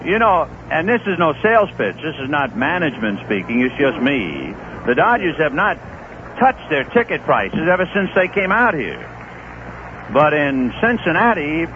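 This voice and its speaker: A man doing radio play-by-play of a baseball game, easygoing and folksy, with chatty asides between pitches. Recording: tinny old AM radio broadcast with faint hiss.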